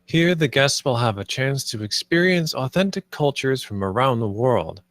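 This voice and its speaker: A man's voice: mid-range voice, lively intonation, moderate pace, fairly bright tone, no room sound, clean studio sound. A man playing an earnest, idealistic theme park designer in a screenplay table read, with a speechlike delivery. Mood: earnest, visionary.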